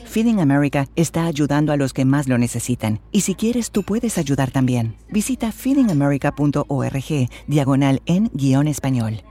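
A man narrates a public service announcement in a measured read. His voice is mellow and slightly raised in pitch. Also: lively intonation.

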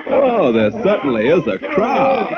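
An actor playing an amused, wry, genteel playwright in a theatrical 1940s radio drama style. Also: male voice, a little high, wildly swooping pitch, a little slow, over a noisy crowd. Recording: dull, very noisy old radio recording.

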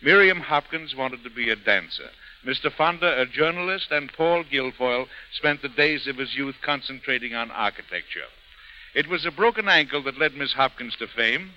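A man's voice: medium pitch, animated, moderate pace, sharp tone, a little hiss or hum. A man presenting and narrating a scripted 1930s radio programme in a formal, theatrical way. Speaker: guest host and narrator. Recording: muffled 1930s radio broadcast.

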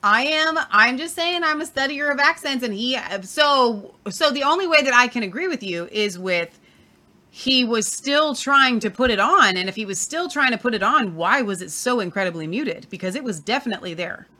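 Bright-voiced woman reading viewer comments. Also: on the high side, lively intonation, normal speed. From Southern Ohio. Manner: reads out comments, playfully argumentative. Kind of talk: casual chat.

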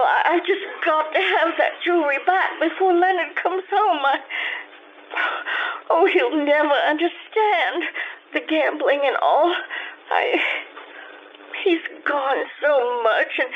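A well-off, anxious wife acted by a woman in an old-time radio melodrama. Her high voice is hesitant, fluttery and evasive. The recording is muffled, with faint noise behind. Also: full character voice. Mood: pleading, distressed. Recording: dull lo-fi, noisy behind.

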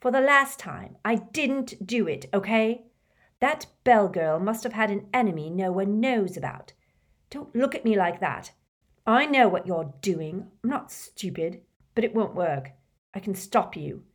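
A woman's voice: mid-range voice, animated, a little slow, pitched above the performer's own voice. A woman voicing a defiant, resentful teenage boy wizard who talks back with a sneer in an audiobook.

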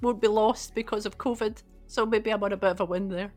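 A woman's voice: mid-range voice, steady tone, fairly quick, soft background music. A woman speaking as a podcast panellist in casual, reflective discussion.

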